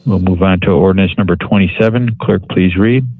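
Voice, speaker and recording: A man runs a board meeting by the book, with set phrases for motions and votes. His voice sits a little low. The sound is dry and slightly dull. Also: fairly quick, breathy edge, no room sound. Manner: procedural, formulaic calls for motions and votes. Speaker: board chairman running the meeting. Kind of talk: formal parliamentary procedure.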